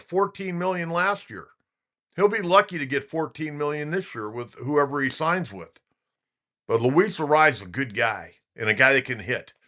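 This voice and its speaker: A man with a bright, forceful voice delivers sports opinions like a seasoned talk host. His pitch sits in the middle of the male range. He speaks conversationally but emphatically, restating key points to drive them home. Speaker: sports talk show host and basketball play-by-play announcer.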